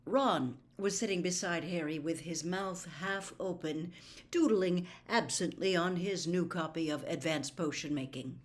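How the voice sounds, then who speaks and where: A woman reading a novel aloud as expressive, scripted story narration. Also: on the low side, unhurried. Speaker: audiobook reader.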